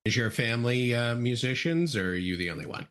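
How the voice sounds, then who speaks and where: A man hosting a podcast, asking brief, relaxed interview questions. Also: medium pitch, a little fast, clear, resonant tone. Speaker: podcast host.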